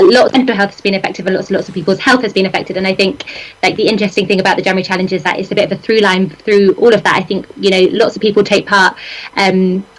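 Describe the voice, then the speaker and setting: A woman's voice: medium pitch, rough recording. A woman from an arts organisation responds on a live show in a mid-range voice. She is thoughtful and sincere, speaking with conviction as she gathers her points.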